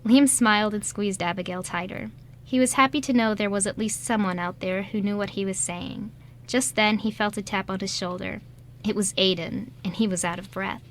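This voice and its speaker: A woman's voice: medium pitch, fairly even intonation, moderate pace. Woman narrating over faint background noise. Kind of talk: scripted narration of an amateur radio drama. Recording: light background noise and ambience.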